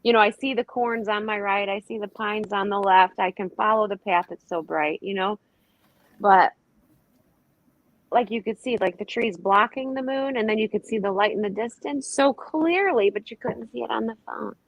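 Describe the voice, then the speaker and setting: A woman's voice: mid-range voice, moderate pace, no room sound, low-quality recording. A middle-aged woman with a young-sounding voice, chatting on a live stream and rambling through her stories. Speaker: panel guest. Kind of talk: casual chat on a live stream. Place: indoors at home. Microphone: computer mic on a video call.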